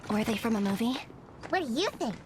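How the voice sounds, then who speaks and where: A woman's voice: a little high, animated, fairly quick, noisy background, close to an everyday voice. A woman voicing a playful, teasing cat-like young fighter in a game trailer. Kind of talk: game trailer character voice acting. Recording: game trailer audio under loud music.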